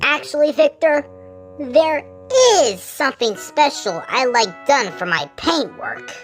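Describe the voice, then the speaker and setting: Kindly female locomotive for a children's audio story, woman actor: very high, unhurried, heartfelt and halting. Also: very animated, full character voice. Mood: hopeful, wistful. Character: kindly female tank-engine character, grieving, earnest and caring. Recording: music behind the voice.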